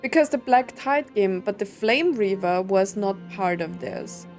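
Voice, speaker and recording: A woman's voice: medium pitch, expressive, measured pace, a little mellow. Woman musing aloud over game music. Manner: thinking aloud, questioning. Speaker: streamer reacting to a story game. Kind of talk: casual reaction commentary.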